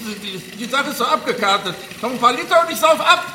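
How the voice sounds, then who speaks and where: A sardonic banker held prisoner in a radio crime drama. His strained voice swings between pleading and swearing. The room rings around him, with clear noise and ambience behind. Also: very high-pitched, lively intonation, quick pace, reverberant. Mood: exasperated. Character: cynical male banker held captive, desperate and sardonic. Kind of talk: modern German radio crime drama acting.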